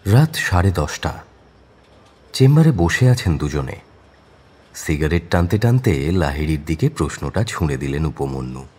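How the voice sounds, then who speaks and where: A man's voice: a bass voice, very animated, a little slow, a little mellow, husky. A man narrating a detective audio story in a dramatic, scripted style.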